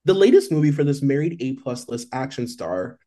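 Male podcast co-host, warm low-key timbre, rambling casual gossip delivery. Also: big pitch swings, brisk. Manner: loose and chatty, heavy on "like". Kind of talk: casual celebrity gossip chat.

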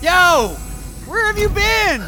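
An actress playing a flustered, bewildered and excitable young slacker, slangy, in an audio drama. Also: female voice, extremely high pitch, slow pace, over music, exaggerated voice. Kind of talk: comic naturalistic audio drama acting.

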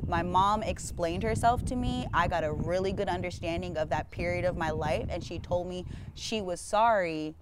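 A woman's voice: fairly low pitch, moderate pace, hardly any pauses, background noise. A young woman speaking sincerely and reflectively in a casual podcast chat.

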